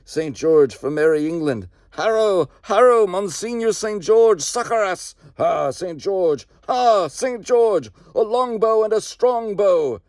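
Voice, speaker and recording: A man voicing a ghostly host of medieval bowmen, shouting fervent chanted battle cries. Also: high voice, lively intonation, takes it slowly, few pauses, no room sound, studio quality, pitched above the performer's own voice.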